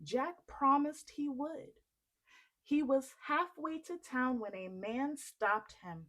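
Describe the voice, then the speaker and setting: A woman reading a children's story aloud in an expressive storytelling style. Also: high voice, slow pace.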